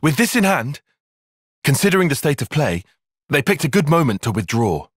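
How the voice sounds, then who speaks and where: Earnest young tactician, slightly husky. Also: male voice, on the high side, big pitch swings, no echo, studio quality. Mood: analytical, composed. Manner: precise, formal, measured phrasing. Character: young male soldier tactician, analytical, formal, earnest. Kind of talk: video game cutscene voice acting.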